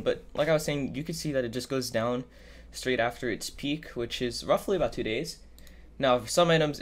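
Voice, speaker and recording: A man who sounds a bit under the weather, giving a casual, improvised screen-capture tutorial and thinking aloud as he goes. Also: mid-range voice. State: sick with a cold. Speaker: YouTuber giving a trading tutorial. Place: indoors at a computer, voice-over.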